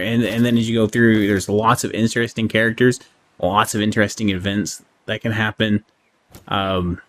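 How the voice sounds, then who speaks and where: A man giving a casual game review, rambling and thinking aloud as he goes, with an easy readiness to chuckle. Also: on the low side. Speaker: gaming channel host. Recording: clearly audible background noise.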